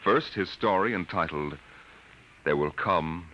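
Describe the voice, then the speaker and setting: Low, dramatic male radio narrator. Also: lo-fi sound, heavy background noise. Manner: measured, solemn storytelling. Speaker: narrator and host. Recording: old radio recording, loud music behind.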